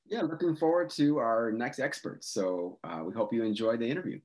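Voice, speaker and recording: A man co-hosting a podcast, talking in a measured way and hedging with "you know" and "I think". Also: medium pitch, a little mellow. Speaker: podcast co-host.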